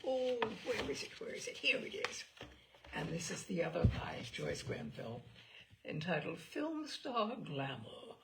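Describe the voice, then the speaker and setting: Expressive woman storyteller with lively intonation. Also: fairly low pitch, vocal fry, noisy background. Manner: measured, theatrical storytelling with acted dialogue. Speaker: reader of literary selections. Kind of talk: expressive literary reading of memoir.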